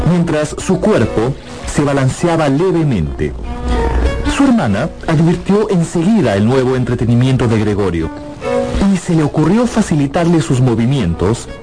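A man's voice: medium pitch, rough recording. A man narrating a scripted dramatic story for a radio audiobook. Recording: music behind narration.

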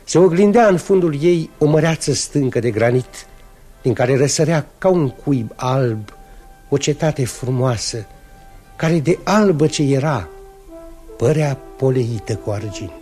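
Mellow lyrical male narrator. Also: medium pitch, animated, breathy edge, faint music behind. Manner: measured, lyrical. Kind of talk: scripted storybook narration.

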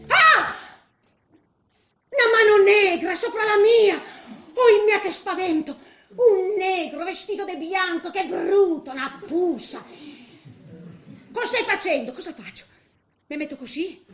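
A woman's voice: very high-pitched, a bit bright. An actress playing a grumbling, easily frightened eighty-year-old woman in a theatrical comic monologue, colloquial and full of exclamations. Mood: agitated, frightened. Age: 80s character. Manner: colloquial, exclaiming, chattily reliving her misadventures.

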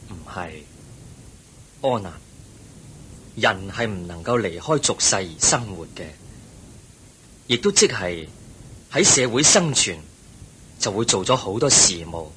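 An actor with a Hong Kong Cantonese accent playing the Buddha in a radio drama, a calm, wise teacher who explains things patiently and in measured phrases. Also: male voice. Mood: patient teaching. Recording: noisy recording with low rumble.

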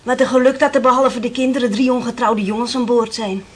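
A woman's voice: a little high, steady tone, fast, breathy edge. Brash, chatty working woman. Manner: bantering, plain-spoken, exclaiming. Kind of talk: Dutch radio play acting.

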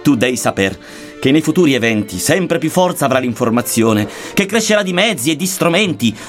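A man's voice: mid-range voice, sing-song, a little fast. A man recites archaic epic verse in a solemn, theatrical manner. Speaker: reader of verse. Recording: music under the voice. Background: music.

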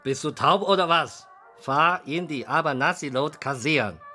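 An actor playing a crafty, gruff Chinese restaurant boss in a radio drama, curt with orders and fond of crude jokes. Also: male voice, on the high side, normal speed, pushed and bright, soft background music. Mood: curt, impatient.

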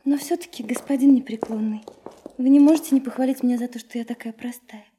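An actress in a radio drama playing a sincere, lovesick young woman, soft and hesitant, often dropping into a breathy whisper. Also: on the high side, steady tone, fast talker, slight background noise, lightly acted. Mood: playful, eager. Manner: hesitant, earnest, confiding. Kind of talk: intimate radio drama acting.

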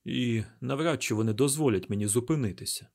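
A man's voice: mid-range voice, a little fast, creaky, a little mellow, close to an everyday voice. A man voicing a weary, troubled young hero in an audiobook, earnest and hesitant.

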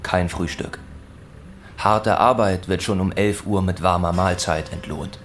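A man narrating a literary radio play with terse, deliberate phrasing. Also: low-pitched, faint music behind.

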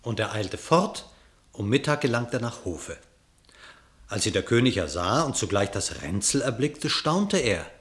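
A man narrating a verse fable for a radio drama with measured, formal diction. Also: fairly low pitch, big pitch swings.

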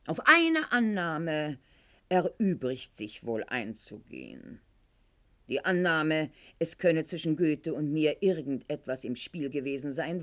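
Aging aristocratic lady, haughty, formal, unhurried, highly animated melody, theatrical radio play monologue. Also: full character voice. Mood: haughty, dismissive. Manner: formal, precise, deliberate, haughty declamation. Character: proud, aging aristocratic court lady and wife, haughty, self-justifying.

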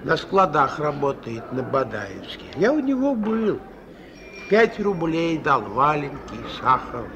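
An actor playing a worn-out old ex-convict in a radio play, sly and meek, talking in folksy thieves' talk with wheedling pet words. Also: male voice, fairly high pitch, big pitch swings, slow, dull audio, crowd noise. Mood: plaintive, resigned. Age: older. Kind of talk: mid-century radio play acting. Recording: old radio recording with music behind.

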